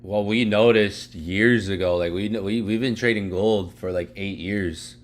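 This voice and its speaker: A young man teaching live, walking through a price chart in casual, rambling talk full of "like" and "literally", his voice going hoarse and his throat needing clearing. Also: fairly low pitch, fairly even intonation, a little slow. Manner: rambling, heavy filler "like" and "literally". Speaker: trading educator.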